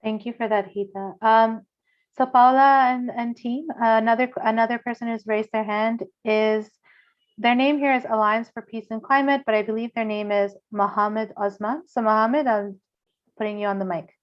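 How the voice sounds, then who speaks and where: A woman's voice: on the high side, fairly even intonation, moderate pace. A woman informally hosting an online panel and handing over to speakers. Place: online video meeting. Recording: dull video-call audio.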